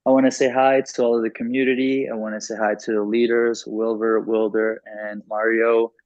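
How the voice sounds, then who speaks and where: A man's voice: lowish voice, level tone, moderate pace, darkish tone, no echo. Male interpreter on dull, dry call audio. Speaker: interpreter between English and Spanish. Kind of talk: consecutive interpreting on a group call. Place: online group video call.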